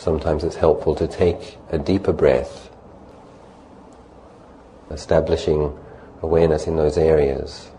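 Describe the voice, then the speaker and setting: A man with a very low, soft-edged voice gives guided meditation instruction. He speaks calmly in short, unhurried phrases and leaves long pauses between them. There is faint ambient noise behind him. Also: poor audio. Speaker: meditation teacher.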